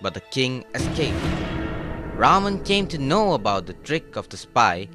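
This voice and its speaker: A man narrating an animated children's story in a clear, steady storytelling way. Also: mid-range voice, a little slow, music in the background.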